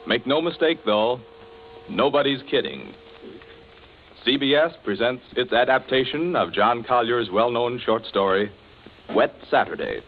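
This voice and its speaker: A man's voice: mid-range voice, expressive, slight background noise. A man narrating as a formal, theatrical radio announcer in 1940s scripted drama style. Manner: formal, theatrical, deliberate emphasis. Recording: muffled 1940s radio broadcast.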